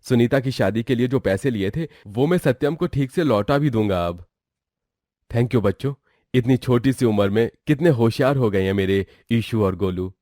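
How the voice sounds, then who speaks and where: A man's voice: medium pitch, lively intonation, normal speed, warm tone, no echo, clean studio sound, close to an everyday voice. A man playing a worried, plaintive poor pizza seller and family man in a melodramatic moral story. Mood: relieved, grateful. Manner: plaintive, lamenting.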